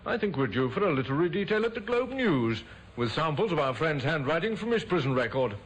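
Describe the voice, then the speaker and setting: An actor playing a shrewd, brisk male police inspector in an old radio drama, crisp and dryly ironic. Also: on the high side, very animated. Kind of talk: 1950s radio drama acting. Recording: old radio broadcast with faint hiss.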